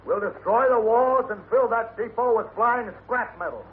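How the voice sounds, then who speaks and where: An actor playing a stern gunfighter calling out loud, commanding ultimatums in a 1950s Western radio drama. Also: male voice, very high voice, moderate pace. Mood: stern, threatening. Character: gunfighter shouting stern ultimatums at holed-up outlaws. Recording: muffled old radio recording, faint hiss.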